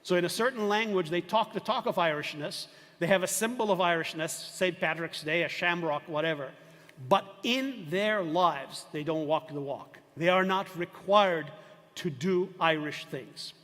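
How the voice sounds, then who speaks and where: Older male professor, fairly high voice, emphatic lecture delivery with rhetorical questions, hall reverb. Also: normal speed, a bit bright. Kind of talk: university lecture.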